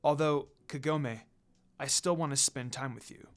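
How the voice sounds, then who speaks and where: A man voicing a gentle, devoted male demon lover in a romance story, hushed and tender. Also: medium pitch, moderate pace, close to an everyday voice. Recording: music under the voice.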